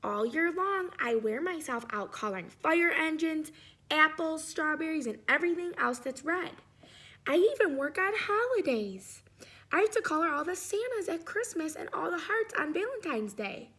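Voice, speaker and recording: A woman's voice: sits high, sing-song, normal speed, a bit bright, voice pushed up. A woman voicing an overworked, put-upon red crayon in a storybook, complaining with emphasis. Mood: weary complaint.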